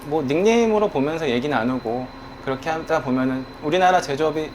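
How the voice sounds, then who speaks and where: A man's voice: fairly high pitch, animated, brisk, a little hiss or hum. A man in his thirties, a guest on a talk show, speaking earnestly and reflectively about his work. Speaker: guest, online community manager. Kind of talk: casual conversation.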